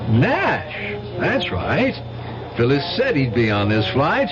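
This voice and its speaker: A snobbish, sardonic gentleman in a vintage radio mystery. His delivery is indignant and very animated in pitch, on a dull broadcast recording with music underneath. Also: takes it slowly. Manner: peevish, sardonic. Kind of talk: old-time radio drama acting.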